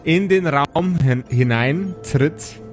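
A man's voice: fairly high pitch, takes it slowly, faint music behind. A man narrating an improvised tabletop audio play as game master.

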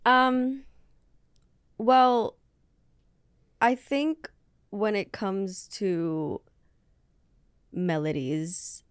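Hesitant low-voiced young woman. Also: dry recording. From Philippines. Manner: hesitant, searching for words with many fillers. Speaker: guest singer. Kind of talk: casual interview answers.

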